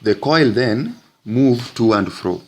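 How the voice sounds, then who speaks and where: A man teaching physics, narrating an explanatory lesson in deliberate, halting phrases with frequent breaks. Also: medium pitch, animated.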